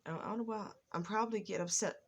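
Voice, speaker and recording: A woman's voice: medium pitch, very fast, slight background noise. A woman vlogger with a stutter, telling a personal story and circling back over the same phrases again and again. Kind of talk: personal testimony vlog.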